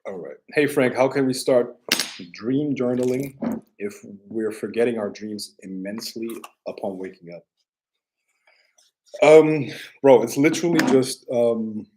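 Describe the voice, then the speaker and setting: A man chatting casually as a livestream host, halting and offhand, often repeating his phrases. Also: mid-range voice, mellow, slight background noise, poor audio. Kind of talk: casual rambling livestream chat.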